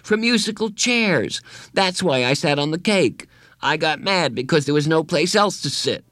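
A man doing a jittery young schoolboy from a storybook, pitched well up and gushing with eager, whiny questions. The voice leaps up and down constantly. It sounds dry and close, with no room around it. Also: animated, moderate pace, a bit bright, dry recording. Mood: worried. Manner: high, eager, tearful and whiny. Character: anxious excitable young schoolboy. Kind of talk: audiobook character voice.

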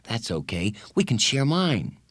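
A man's voice: medium pitch, wildly swooping pitch, unhurried, fairly bright tone, slightly rough, no echo, clean studio sound, exaggerated voice. A man voicing a polite, reluctant schoolboy with a hesitant, mild manner in a children's audiobook.

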